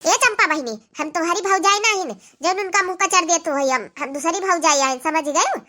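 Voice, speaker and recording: A woman's voice: extremely high pitch, moderate pace, bright, forceful tone. A woman voicing a fiery, combative young village sister-in-law in an Awadhi comedy cartoon, scolding in an Awadhi accent. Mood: bossy, threatening. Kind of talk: Hindi-belt comedy cartoon dialogue.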